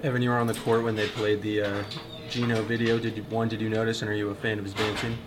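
A man's voice: fairly low pitch, flat delivery, fast. A man asking casual interview questions as a reporter. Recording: off-mic, noisy room.

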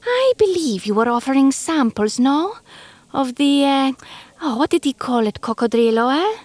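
A woman's voice: high voice, big pitch swings, darkish tone, no echo, voice pushed up. Woman doing a purring, cunning cat. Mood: smug. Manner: cunning, mocking, drawn-out. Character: sly, smug male cat gelato boss.

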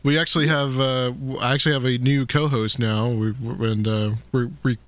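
A man hosting a casual interview podcast, talking haltingly with many fillers and restarts. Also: mid-range voice, fairly even intonation, no room sound. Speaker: podcast host.

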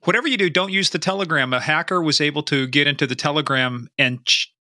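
A man's voice: on the high side, fast, sharp tone, no room sound, clean studio sound. A male host of an interview show, chatty and blunt, who swears freely. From grew up partly in Iran. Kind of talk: casual podcast interview.

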